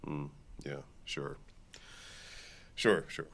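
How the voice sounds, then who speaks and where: A man's voice: very deep voice, very slow, gravelly, fairly bright tone, exaggerated voice. An actor playing a protective, weary male friend in a naturalistic audio drama, subdued and laconic. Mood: tired, withdrawn.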